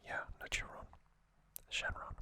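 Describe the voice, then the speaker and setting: A man whispers in a breathy ASMR style, taking his time over each phrase. The sound is soft yet very bright and sibilant, as he informally explains trivia like a host guiding a listener. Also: a little slow.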